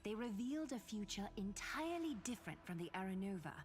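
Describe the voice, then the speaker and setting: A woman's voice: medium pitch, lively intonation, fast, natural voice. A woman voicing a regal, poised female leader in dramatic video game dialogue, stately and formal. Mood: grave. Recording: music under the voice.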